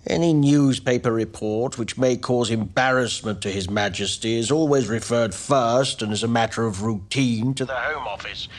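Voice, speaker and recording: A man's voice: mid-range voice, natural voice. A man playing a stern statesman. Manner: clipped, commanding, measured. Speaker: senior politician on the phone. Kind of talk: period TV drama. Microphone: phone line.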